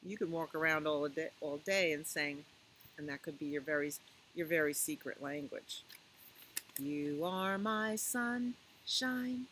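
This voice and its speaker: A woman hosting a library storytime for young children, reading and chatting in a gentle, conversational way with questions for her listeners. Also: low voice, steady tone, normal speed, slight background noise, poor audio. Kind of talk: children's picture book read-aloud and chat.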